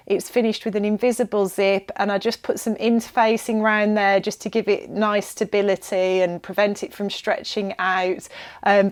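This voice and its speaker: A woman's voice: medium pitch, smooth. Chatty, enthusiastic woman presenter. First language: English. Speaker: presenter of a sewing shop's pattern review video. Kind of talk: casual product review chat to viewers.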